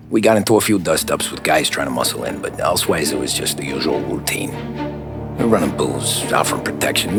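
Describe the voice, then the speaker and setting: Easygoing American gangster, low voice, streetwise slang, matter-of-fact, cinematic game, music underneath. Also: male voice, vocal fry, heavily acted. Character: streetwise, easygoing working-class mobster.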